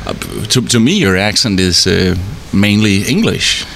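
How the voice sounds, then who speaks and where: A man's voice: lowish voice, big pitch swings, measured pace, gravelly, a bit bright, a little hiss or hum. A man interviewing a hotel worker in a hot hotel bar, asking curious, lightly teasing questions. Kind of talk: casual interview.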